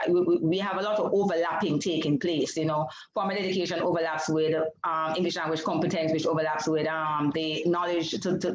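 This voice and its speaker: Jamaican woman, Creole first language, doctoral researcher presenting a seminar; lower voice, careful and explanatory, echoey lo-fi call sound. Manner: measured and explanatory, with frequent fillers and self-corrections. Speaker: PhD candidate presenting research. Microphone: video call.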